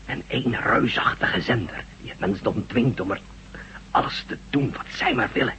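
An actor playing a badly wounded Russian space official in a radio drama, speaking haltingly and short of breath as he pleads urgently. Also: on the low side, bright, forceful tone, rough recording. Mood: grave, urgent. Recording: radio recording with faint noise.

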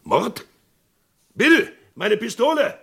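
An actor playing a folksy, blustering Western sheriff in a vintage radio play, with a gravelly, husky voice. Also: male voice, extremely high pitch, very animated, slow, bright, forceful tone, full character voice. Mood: brisk, startled. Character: boisterous, jovial small-town Western sheriff, probing and incredulous.

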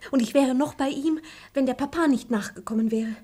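A woman's voice: high-pitched, a little fast, mellow, husky. A woman playing a naive, headstrong young girl of a good house in a classic comedy radio play, pert, girlish and impetuous. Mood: pert, pouting.

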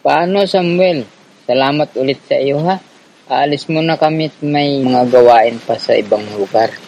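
An actor playing a friendly, considerate Filipino guerrilla teacher and organizer in a radio drama. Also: male voice, mid-range voice, normal speed, darkish tone, lightly acted. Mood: friendly, guiding. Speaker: rebel teacher and organizer character. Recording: slightly dull lo-fi audio.

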